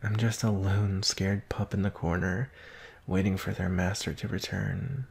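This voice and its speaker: A young man playing an anxious, devoted werewolf in an intimate ASMR romantic roleplay, speaking hesitantly in a husky, breathy voice. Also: deep voice, steady tone, a little slow, a little mellow, exaggerated voice. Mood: pleading, vulnerable. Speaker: roleplay voice actor.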